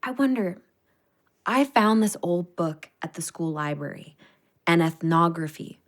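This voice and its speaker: A woman's voice: lowish voice, lively intonation, unhurried. An actress playing an earnest, loyal, spiritually minded woman friend in a naturalistic audio play, speaking with sincerity. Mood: earnest, wondering. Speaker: voice actor in audio drama.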